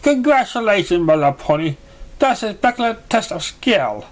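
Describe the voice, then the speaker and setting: A man's voice: sits high, sing-song, moderate pace, voice pushed up. A man voicing a grand, congratulatory magical contest master in a storybook reading, proclaiming with theatrical praise. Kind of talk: storybook character voice in fan fiction reading.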